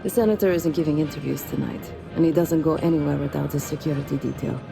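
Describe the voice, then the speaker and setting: An actress playing a cool, shrewd spy in a video game, briefing in a composed, dry, confiding way. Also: female voice, deep voice, steady tone, quick pace, gravelly. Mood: cool, businesslike. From outside the United States. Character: cool, shrewd female spy posing as trophy wife. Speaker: covert operative. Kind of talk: acted game cutscene dialogue. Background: music.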